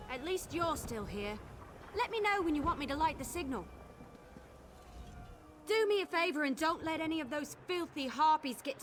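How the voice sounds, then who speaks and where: A woman's voice: high-pitched, background noise. A woman voicing a cocky, impatient young female wood elf archer in a video game, with a sarcastic, grumbling, boastful manner.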